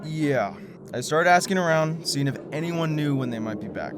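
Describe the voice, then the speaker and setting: A man voices a worn-out conspiracy theorist who hasn't slept in days. He stumbles over his words and laughs nervously, yet holds firm to his principles, with a steady background hiss. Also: fairly high pitch, moderate pace, close to an everyday voice. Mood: explaining. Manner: jittery, stammering, nervous laughs. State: exhausted from lack of sleep. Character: sleepless male conspiracy theorist, stubborn, principled. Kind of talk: audio drama acting.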